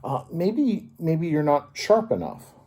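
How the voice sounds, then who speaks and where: A man acts out a well-meaning pencil from a children's storybook. It is a slightly bossy helper with a lifted, swinging pitch and a faintly husky edge. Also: huge pitch swings, slow, a little mellow, full character voice. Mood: tentative advice.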